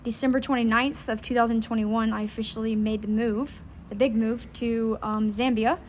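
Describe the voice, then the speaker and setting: A woman talks as a podcast guest. Her slightly high voice stays fairly flat in pitch, and she often stops on "um". Faint noise sits behind her. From Louisiana, United States. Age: 20s. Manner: earnest, fills pauses with "um". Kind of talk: casual interview chat.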